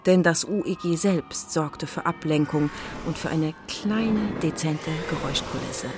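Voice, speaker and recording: A woman's voice: low-pitched, measured pace. A woman narrating a children's radio play in a scripted storytelling style. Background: music.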